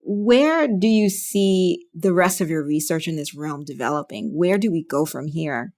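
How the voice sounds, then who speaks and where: Low-pitched female podcast interviewer. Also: animated, unhurried, darkish tone. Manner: affirming, recaps before asking. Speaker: podcast host, physician interviewer.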